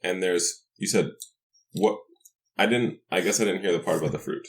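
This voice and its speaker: A man's voice: low-pitched, normal speed. A man taking part in a tabletop role-playing game, asking and reacting in casual chat.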